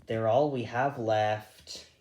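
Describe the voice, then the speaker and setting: A man voicing a calm, cautious rabbit leader in a gentle, measured way. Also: fairly low pitch, echoey room, dull audio. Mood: calm, encouraging.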